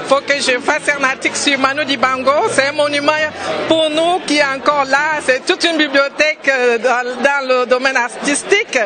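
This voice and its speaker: Earnest woman from Cameroon, high voice. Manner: earnest, hesitant with fillers. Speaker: blogger and NGO president. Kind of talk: interview answer at a festival. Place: outdoors at a busy festival. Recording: noisy crowd around. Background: crowd chatter.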